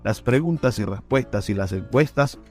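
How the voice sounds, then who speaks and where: A man's voice: mid-range voice, lively intonation, moderate pace, faint music behind. A man reading scripted promotional narration as a radio host.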